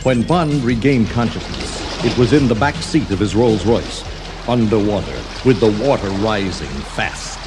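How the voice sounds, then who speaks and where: A man's voice: fairly low pitch, animated, moderate pace. A man narrating a scripted children's adventure story as the storybook's reader. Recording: 1980s storybook cassette with music underneath. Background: background music.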